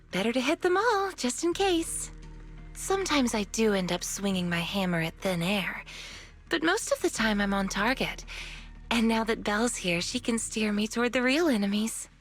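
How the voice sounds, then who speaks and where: Game role: plainspoken young woman warrior, slightly high voice, sincere and headstrong, light music beneath. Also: big pitch swings, moderate pace. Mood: matter-of-fact. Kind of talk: video game voice acting.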